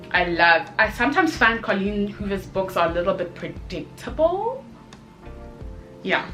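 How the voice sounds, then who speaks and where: Chatty, expressive woman reviewing over music. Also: medium pitch. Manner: expressive, emphatic, with short exclamations and repeated phrases. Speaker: book reviewer, video host. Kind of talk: casual chatty review to camera.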